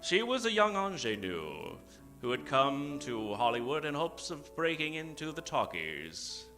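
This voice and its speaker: A man hosting a live old-time radio show as a grandiose, theatrical announcer with dramatic pauses. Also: on the high side, huge pitch swings, bright, forceful tone. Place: theater stage, before an audience. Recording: live stage show with music behind. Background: music.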